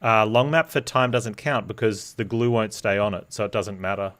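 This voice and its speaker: A man chatting casually as he streams a game, rambling along in a deadpan way. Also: lowish voice, normal speed. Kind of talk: casual live stream chat with rambling commentary. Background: game music.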